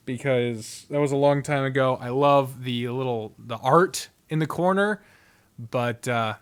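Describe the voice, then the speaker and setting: A man giving casual, off-the-cuff ranking commentary in an animated way, speaking straight to the things being ranked and swearing freely. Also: medium pitch, clear, resonant tone. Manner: animated, addresses the games directly, swears freely. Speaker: video host ranking visual novels.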